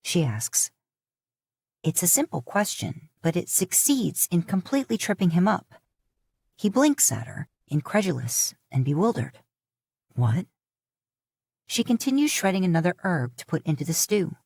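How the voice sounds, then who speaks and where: A woman reading a story aloud in a calm, steady narration. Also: on the low side, moderate pace, creaky, husky, no room sound, clean studio sound. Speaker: podfic narrator. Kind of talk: scripted audiobook narration.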